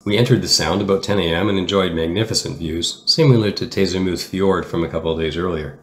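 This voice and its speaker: Deep guy doing sailing vlog voiceover, kinda echoey room, explains stuff steadily. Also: vocal fry. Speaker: sailor narrating travel vlog. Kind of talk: scripted voice-over narration. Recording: roomy echo, music underneath. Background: background music.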